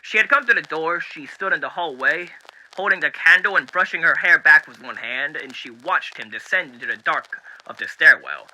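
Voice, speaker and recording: A man's voice: on the high side, lively intonation, normal speed, bright, forceful tone, low-quality recording. A man voicing a genteel, eerily cheery demon radio host with showy, mannered delivery, in the style of 1930s radio acting. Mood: solemn storytelling.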